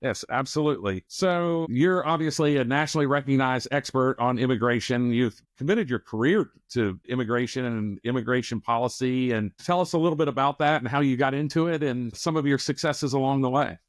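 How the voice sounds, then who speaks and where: Male co-host, relaxed interviewer, close dry recording. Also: medium pitch, fairly quick, few pauses, dry recording. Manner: friendly, conversational questioner. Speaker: podcast co-host. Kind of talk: interviewing a guest.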